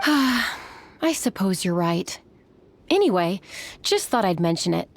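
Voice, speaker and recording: A woman's voice: mid-range voice, big pitch swings, slow pace, full character voice. A woman playing a composed, welcoming innkeeper in a video game, speaking politely as she explains things. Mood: resigned, friendly. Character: composed, welcoming adult female innkeeper, gently wistful. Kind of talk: video game character acting. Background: game background music.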